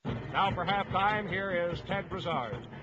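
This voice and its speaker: A man's voice: high-pitched, animated, takes it slowly, dull audio, music in the background. A man calling play-by-play for a 1950s radio football broadcast in clipped, staccato phrases. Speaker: play-by-play sports announcer. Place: stadium broadcast booth.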